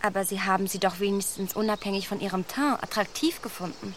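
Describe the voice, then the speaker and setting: A woman's voice: medium pitch, fast talker, slight background noise, lightly acted. An actress playing a clever young lady in a radio play, probing and ironic in her questions.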